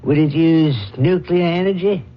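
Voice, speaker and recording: Man playing a high-pitched, brisk tycoon father. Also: close to an everyday voice. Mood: shrewd. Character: brisk millionaire tycoon father, deal-making, commanding older man. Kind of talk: old radio drama acting. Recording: muffled, noisy old radio drama recording.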